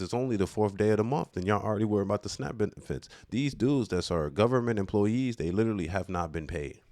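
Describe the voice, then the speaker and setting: A man with a low-pitched voice talks off the cuff, giving his opinions in loose, slangy speech. He repeats phrases to press his point and speaks emphatically. The sound is dry, with hardly any room echo. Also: darkish tone. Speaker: commentator on current affairs. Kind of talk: casual unscripted opinion commentary.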